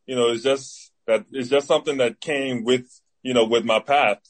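Young bahamian guy, athlete doing a casual interview, medium pitched voice. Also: steady tone, moderate pace. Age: 20s. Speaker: interview guest, football player.

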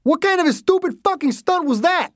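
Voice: mid-range voice, very animated, brisk, no echo, studio quality, voice pushed up, natural voice. A narrator voicing a belligerent, foul-mouthed man past middle age who throws insults in an audiobook story. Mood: screaming anger.

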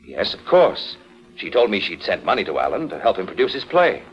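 An actor playing a bitter, self-assured widowed husband, speaking with formal insistence in a 1940s radio drama. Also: male voice, fairly low pitch, big pitch swings, a little fast, faint music behind. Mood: defensive. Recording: muffled vintage 1940s radio recording.

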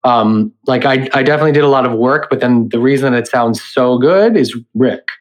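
A man of medium pitch talks as an interview guest. He explains his creative work informally, piecing his thoughts together conversationally as he goes. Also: fairly quick. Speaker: director and audio editor, interview guest.

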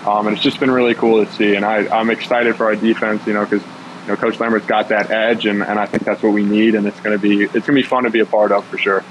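A man's voice: on the low side, level tone, fast, highs cut off, slight background noise. A man in his twenties, a college football player, chatting easily in an interview with many fillers. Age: 20s. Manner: easygoing, rambling, filler-heavy. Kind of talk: casual interview.

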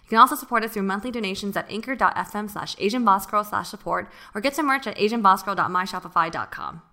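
A woman's voice: medium pitch, quick pace, sharp tone. A woman co-hosting a podcast, easygoing and upbeat in casual chat. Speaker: podcast co-host.

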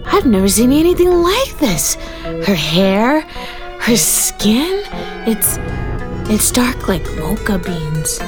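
An actress playing an awestruck, tender carnival visitor, halting with wonder in an audio drama. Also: female voice, fairly high pitch, big pitch swings, over music. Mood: awed.

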